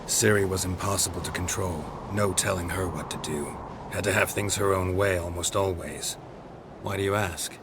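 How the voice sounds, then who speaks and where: A man playing a stoic, weary monster hunter in a video game, with a gravelly, understated, deadpan delivery. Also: sits low, moderate pace, noisy background, lightly acted. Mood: reflective, guarded. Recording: music behind the voice.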